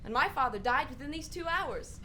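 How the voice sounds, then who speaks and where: A woman playing a mocking, excitable young Danish prince in a Shakespearean radio play. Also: high-pitched, a little fast, poor audio. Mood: bitterly merry. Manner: sardonic, excitable, exclamatory.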